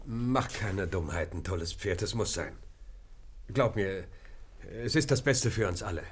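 An actor playing a proud, pragmatic Dakota chief and father in a German radio drama, grave and measured. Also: deep voice, expressive, husky, full character voice. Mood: urgent, hushed.

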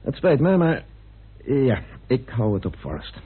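An actor playing a troubled, stubborn amateur detective with an earnest, brooding manner in an old Dutch radio drama. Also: male voice, medium pitch, very animated, unhurried, breathy edge, full character voice. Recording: noisy muffled tinny old radio recording.

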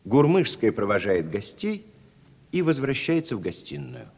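A man's voice: medium pitch, sing-song, normal speed, darkish tone, breathy edge. A man giving scripted narration, reading out stage directions in a radio play. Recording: 1970s radio play, reverberant hall.

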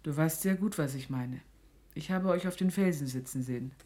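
An actress playing a suspicious, sharp-tongued middle-aged mother who interrogates sternly and sardonically in a radio play. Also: low voice, moderate pace, lightly acted.

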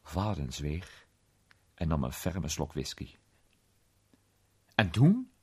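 A man's voice: rumbling low, slow pace, a little mellow, breathy edge. A man reading literary fiction aloud as a scripted narrator. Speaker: narrator, audiobook reader.

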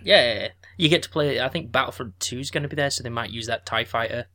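A man's voice: mid-range voice, quick pace, bright, forceful tone. A man around thirty, co-hosting a podcast in casual chat, joking and swearing freely. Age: 30s. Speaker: podcast co-host.

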